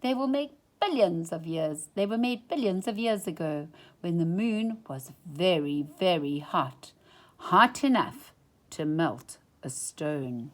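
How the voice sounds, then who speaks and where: Female storyteller for a children's picture book: lowish pitch, lively swooping intonation, hushed dramatic touches. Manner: dramatic pauses, dropping to hushed near-whispers for effect. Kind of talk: expressive read-aloud storytelling.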